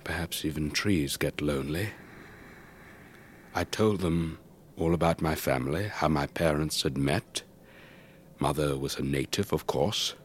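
An actor playing an elderly English retired air force officer, telling his story in a measured, wistful, old-fashioned way for a radio drama. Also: a bass voice. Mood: wry, nostalgic. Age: older. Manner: measured, old-fashioned, reflective, breathy trailing phrases. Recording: faint background noise.

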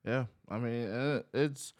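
A man's voice: mid-range voice, slow, darkish tone. A man, co-hosting a podcast in laid-back chat and peppering his talk with "man" and "bro.". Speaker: podcast co-host.

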